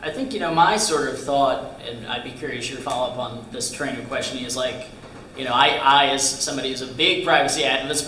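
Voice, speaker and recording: Male discussion participant, crisp forward tone, hesitant informal phrasing, picked up at a distance with room echo. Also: medium pitch, sharp tone. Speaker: discussion participant asking a question. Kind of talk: informal question and comment. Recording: echoey room, distant mic, faint noise.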